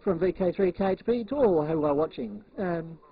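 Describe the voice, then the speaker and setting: A man chatting casually and unscripted on air, halting and repeating words as he goes, heard on muffled transmission audio. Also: sits high, animated, breathy edge. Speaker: amateur television operator.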